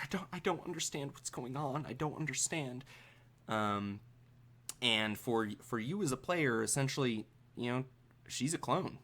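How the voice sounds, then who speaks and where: Male game master, unhurried pace, vocal fry, halting improvised narration, suspenseful pauses. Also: on the low side, a bit bright.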